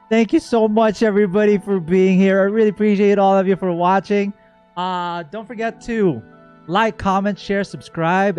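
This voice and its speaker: Higher-pitched man streaming, playfully excitable. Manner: animated exclamations, rambling and playful. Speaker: streamer and host. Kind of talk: excited game reaction and casual stream chat. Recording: music playing behind the voice. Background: game music.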